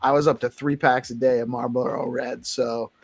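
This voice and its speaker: A man's voice: medium pitch, flat delivery, moderate pace, creaky. A man hosting a casual morning talk show, chatting conversationally with guests. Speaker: host.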